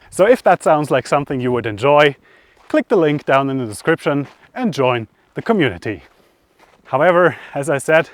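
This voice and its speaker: A man's voice: on the high side, no room sound. A man hosting a travel vlog, talking casually and conversationally to camera outdoors, often thinking aloud. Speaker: travel vlogger, host. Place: outdoors by roads, lakeside and mountains.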